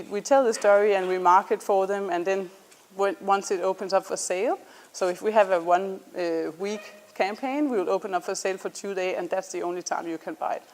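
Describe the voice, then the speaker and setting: Danish woman pitching, hesitant with ums. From Denmark. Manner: casual, hesitant, many ums and restarts. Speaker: startup founder presenting. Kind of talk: student pitch presentation.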